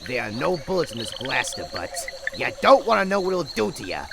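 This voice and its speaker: A man's voice: medium pitch, very animated, fairly quick. A man playing a menacing mobster in a comic book dub, threatening and slangy. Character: menacing male mobster with a blaster. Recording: clearly noisy background.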